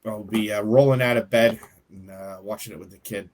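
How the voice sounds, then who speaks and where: A man's voice: on the low side, fairly even intonation, brisk, a little mellow. A man co-hosting a wrestling podcast in casual chat, talking hesitantly and restarting often. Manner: hesitant, many fillers and restarts. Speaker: podcast co-host.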